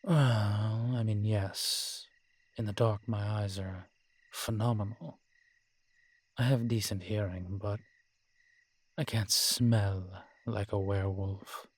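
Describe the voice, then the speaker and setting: A man playing a world-weary, morose male vampire in a roleplay audio drama, speaking in a measured, formal, brooding manner with sighs and pauses. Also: fairly low pitch, fairly even intonation. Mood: matter-of-fact, wry. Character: weary old male vampire, morose realist, formerly cruel.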